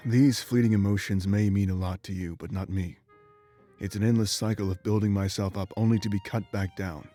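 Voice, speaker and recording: Man voicing ancient game warrior, low pitch, solemn and bitter, measured confessional delivery, music behind. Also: fairly even intonation, warm tone, natural voice. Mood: bitter, weary. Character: ancient immortal former rebel warrior, remorseful and weary man. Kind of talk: dramatic game voice acting, confessional monologue.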